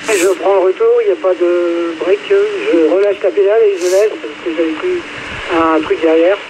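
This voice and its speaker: High-voiced man on noisy radio. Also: fairly even intonation, normal speed. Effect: radio transmission. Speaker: amateur radio operator. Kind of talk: short casual radio signal reports. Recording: noisy amateur radio reception.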